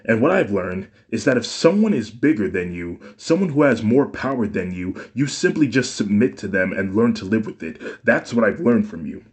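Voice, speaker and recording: A man's voice: fairly low pitch, animated, normal speed, hardly any pauses, close to an everyday voice. A man voicing an anguished young man in a read-aloud fan story, raised and accusing. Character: anguished young man confronting his mother.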